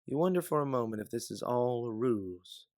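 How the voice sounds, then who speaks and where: A man's voice: medium pitch, animated, moderate pace, a little mellow, no room sound, clean studio sound. A man reading a scripted second-person story as a storyteller.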